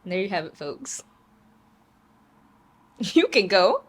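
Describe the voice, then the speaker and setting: A young woman vlogging in a chatty, casual way, her voice raspy from a sore throat, laughing often and trailing off with fillers. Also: mid-range voice, huge pitch swings, very slow. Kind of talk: casual vlog chat.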